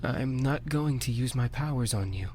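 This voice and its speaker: A woman voicing a calm, quiet, reassuring young male incubus in a visual novel game. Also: very deep voice, normal speed, slight background noise, voice pushed down.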